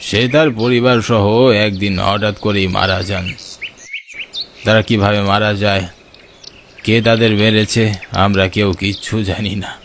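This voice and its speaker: A man's voice: lowish voice, little pitch movement, slow pace, pauses often, a bit bright, a little hiss or hum. A man voicing a grave, elderly widower in a horror cartoon. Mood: grave, sombre. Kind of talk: cartoon horror drama dialogue.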